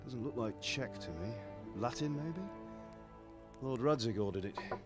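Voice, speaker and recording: An actor playing a stern middle-aged blacksmith father in a video game, with a gruff, lecturing manner. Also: medium pitch, expressive, normal speed, lightly acted. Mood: proud, businesslike. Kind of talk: dramatic video game acting. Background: music.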